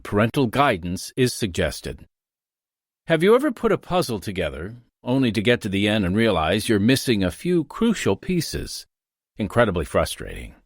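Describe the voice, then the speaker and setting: A man narrating a scripted radio drama as its host, with theatrical, measured storyteller delivery. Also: on the low side, no room sound, clean studio sound.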